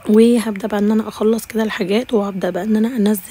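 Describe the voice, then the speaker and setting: A woman's voice: medium pitch, steady tone, rapid-fire, mellow, breathy edge. A woman with an Egyptian accent narrating a home routine vlog, affectionately calling her viewers dear sisters, her voice a little tired. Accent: Egyptian Arabic. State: slightly tired voice. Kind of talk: casual voice-over narration.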